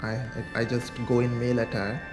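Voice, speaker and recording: A woman's voice: a bass voice, little pitch movement, brisk. A woman from eastern India speaks about her own experiences. The recording is roomy and echoing, with music prominent beneath her reflective talk. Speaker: interviewee. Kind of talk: personal testimony, reflective talk.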